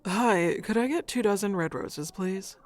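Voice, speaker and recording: A woman playing a brisk, matter-of-fact customer in an audio roleplay, on a noisy recording with clicks. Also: mid-range voice, lightly acted. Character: brisk woman customer buying flowers in a rush.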